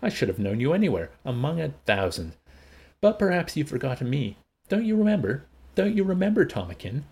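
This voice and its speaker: A man's voice: a little high, big pitch swings, a little fast, creaky, darkish tone, husky, pitched above the performer's own voice, exaggerated voice. A man voicing a desperate, wounded middle-aged woman, pleading and emotional, in an audiobook. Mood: indignant, hurt.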